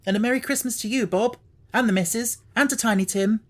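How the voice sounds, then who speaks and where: A woman playing a kindly, cheerful nephew in a radio play, earnest and gently pleading. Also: on the high side, quick pace, natural voice.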